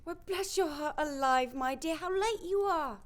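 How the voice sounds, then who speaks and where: A woman's voice: high voice, moderate pace, close to an everyday voice. A woman playing a fond, fussing working-class Victorian mother in an audio play, exclaiming in a motherly way.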